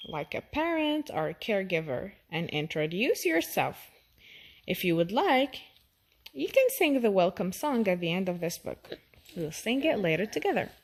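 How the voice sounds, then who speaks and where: A woman reading a children's storybook aloud, expressive and addressing the young listener directly. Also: mid-range voice. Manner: expressive, asks the listener questions.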